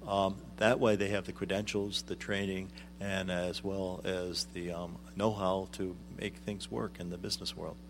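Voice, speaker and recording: A middle-aged man, a guest on a radio interview, explaining things in a measured way with frequent ums. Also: sits low, little pitch movement, background noise. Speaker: guest, arts executive director.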